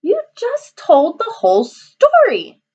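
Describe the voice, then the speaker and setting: A woman's voice: very high voice, wildly swooping pitch, slow pace, warm tone, dull audio, voice pushed up, full character voice. Little Red Running Shorts, a woman doing a snippy girl.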